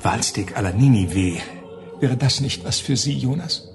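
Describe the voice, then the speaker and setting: An actor playing a nervous, secretive male psychic client in a radio play, hesitant, hushing and fussily polite. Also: mid-range voice, lively intonation, a little slow, over music. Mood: fussy, connoisseur-like. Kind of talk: German radio play acting.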